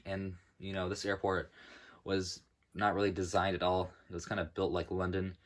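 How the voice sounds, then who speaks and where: A young man with a low, deep voice talks through his hobby build in a loose, rambling way. He fills his pauses with "uh" and corrects himself often. His throat is sore and dry. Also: monotone. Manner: rambling, with many fillers and self-corrections. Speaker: model airport hobbyist showing his build. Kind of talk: casual narrated walkthrough.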